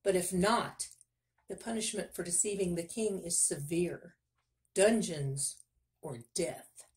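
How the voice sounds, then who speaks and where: A woman's voice: fairly low pitch, takes it slowly, a little mellow. A woman voicing a haughty, suspicious fairy-tale king with an imperious, formal manner. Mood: imperious threat.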